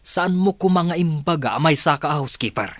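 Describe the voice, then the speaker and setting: A man's voice: fairly high pitch, lively intonation, brisk, a bit bright, no room sound. A man acting an overbearing, brusque young businessman in a Filipino radio drama, with an Ilocano accent. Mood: irritated. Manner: brusque, scolding, exclamatory.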